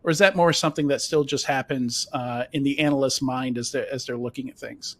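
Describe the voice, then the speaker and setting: A man's voice: medium pitch, fairly even intonation, a little fast, fairly bright tone. A man moderating a webcast panel over a video call, asking rambling questions full of fillers.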